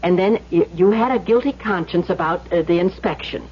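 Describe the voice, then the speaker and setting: A woman playing a skeptical young woman in an old-time radio suspense play, expressive and coaxing. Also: on the low side, moderate pace, husky. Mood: reasoning, soothing. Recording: dull old radio recording with hiss.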